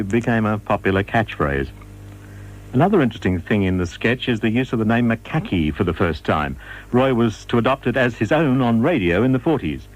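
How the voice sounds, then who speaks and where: A man's voice: fairly low pitch, low-quality recording. A man presenting a radio documentary in scripted narration.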